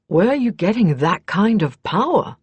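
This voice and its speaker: A woman voicing a gruff, practical male mercenary in an audiobook, with a blunt, skeptical manner. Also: mid-range voice, very animated, moderate pace, no echo, muffled recording, voice pushed up. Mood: surprised, doubtful. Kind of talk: audiobook character dialogue.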